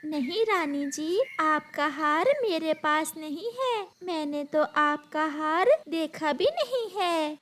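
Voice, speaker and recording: A woman's voice: high-pitched, lively intonation, slow pace, hardly any pauses, a bit bright, voice pushed up, exaggerated voice. A woman voicing a meek, honest little bird with a pleading manner in a children's cartoon, over a noisy recording.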